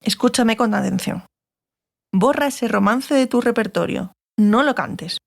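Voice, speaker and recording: An actress playing a stern, sardonic sorceress, curt and authoritative, in a dramatized fantasy audiobook. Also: female voice, a little high, measured pace, creaky. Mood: stern, insistent. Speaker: audiobook actor.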